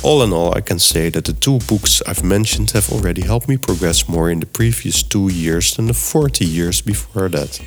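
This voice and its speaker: A man's voice: low-pitched, normal speed, few pauses, music in the background. A middle-aged man, a native Dutch speaker with a Dutch accent, hosting a podcast and reading scripted segments with step-by-step explanations. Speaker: podcast host. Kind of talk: scripted podcast narration and software tutorial.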